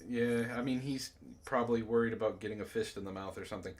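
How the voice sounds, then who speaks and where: A man's voice: mid-range voice, fairly even intonation, fast talker. A man hosting a comic book club, reading a story aloud and breaking off with laughing, exclaiming asides.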